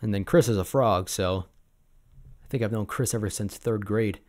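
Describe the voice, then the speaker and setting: A man playing an easygoing, sentimental sabertooth boyfriend in an ASMR roleplay, reminiscing in a casual, gently hesitant way. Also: fairly low pitch, moderate pace, natural voice. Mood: fond, nostalgic.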